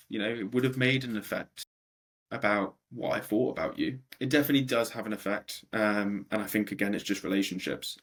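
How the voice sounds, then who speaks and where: A young man in his twenties, a startup co-founder answering interview questions in a conversational way with a deep voice and frequent ums. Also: gravelly, a bit bright, slightly rough. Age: 20s.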